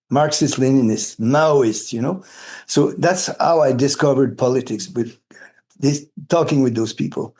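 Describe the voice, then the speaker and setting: Male, late 60s, Quebec French accent, native French speaker. Mellow, relaxed tone, mid pitch. Halting, earnest interview delivery. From Quebec, Canada, brought up partly in Cameroon and Senegal. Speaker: interviewee, retired philosophy of education academic. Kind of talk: reflective interview talk.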